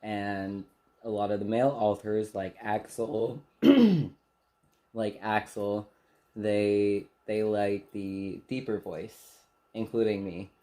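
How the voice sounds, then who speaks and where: A soft-spoken young man co-hosting a casual get-to-know-you chat in a small bedroom, laughing often and speaking candidly. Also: on the low side, level tone, slow pace. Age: young adult. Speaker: channel co-host.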